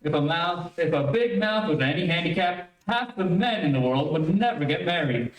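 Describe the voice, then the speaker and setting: A man's voice: a little high, lively intonation, moderate pace, close to an everyday voice. A man playing an exasperated, coaxing father in old-time radio comedy, with a placating, flustered manner. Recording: echoey, distant, faintly noisy stage stream.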